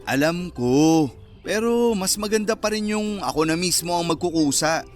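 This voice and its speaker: A man's voice: high-pitched, animated, moderate pace, faint music behind. An actor playing a cheeky young Filipino man, a sarcastic best friend who teases and sulks in a radio romance drama. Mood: earnest. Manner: sarcastic, teasing banter.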